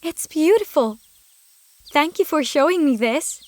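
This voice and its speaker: A woman's voice: high-pitched, lively intonation, measured pace, dry recording. A woman voicing a humble, kind-hearted young girl in a fairy tale, polite and modest in manner. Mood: grateful, awed. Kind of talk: fairy tale character acting.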